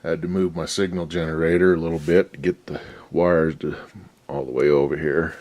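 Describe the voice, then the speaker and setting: A man's voice: a bass voice, level tone, fairly quick, darkish tone. A man restoring an old radio at his workbench, giving rambling, folksy commentary with a lot of swearing. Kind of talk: casual workshop commentary.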